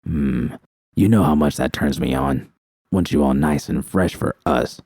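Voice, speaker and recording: A man's voice: very deep voice, measured pace, darkish tone, no echo, clean studio sound, voice pushed down, exaggerated voice. A man voicing a menacing male gangster in an audiobook, gloating and taunting as he drawls out threats. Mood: bored, gloating. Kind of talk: dramatic audiobook character reading.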